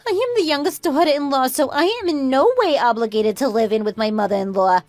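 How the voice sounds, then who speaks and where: Entitled youngest daughter-in-law, female actor: high pitch, dramatic and flustered, radio drama style, dry recording. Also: fast, darkish tone, dry recording, faint music behind. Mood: outraged, protesting. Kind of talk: scripted radio drama acting.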